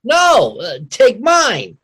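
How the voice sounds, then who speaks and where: Man playing high-voiced, pushy working-class toady. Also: huge pitch swings, drawn-out words, background noise, exaggerated voice. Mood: fawning. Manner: ungrammatical working-class wisecracking. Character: pushy, fawning working-class rival, quick to needle. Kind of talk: old-time radio comedy acting.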